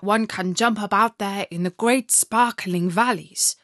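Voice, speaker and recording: A woman's voice: mid-range voice, measured pace, a bit bright, dry recording, studio quality, natural voice. A woman voicing a proud male reindeer in a storybook reading.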